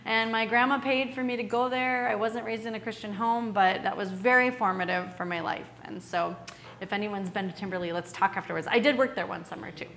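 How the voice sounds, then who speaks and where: A woman's voice: mid-range voice, fairly even intonation, fairly quick, creaky, fairly bright tone. A woman from Wisconsin, a missionary, introducing herself to a church congregation in a cheerful manner and laughing often. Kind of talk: church presentation.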